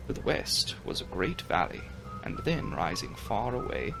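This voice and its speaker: A man reads a gothic novel aloud in a low register with a gravelly creak. His delivery is theatrical and swings widely in pitch, slipping at times into a hush. Music plays clearly beneath the narration. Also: very animated, normal speed, poor audio. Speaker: audiobook reader. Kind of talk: dramatic reading of a gothic novel. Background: music under the reading.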